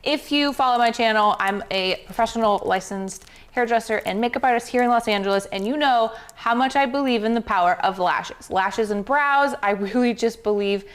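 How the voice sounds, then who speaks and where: A young woman hosting a livestream, a hairdresser and makeup artist, talking brightly and addressing her viewers. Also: fairly high pitch, normal speed, few pauses, smooth. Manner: bubbly, addresses viewers as "you guys".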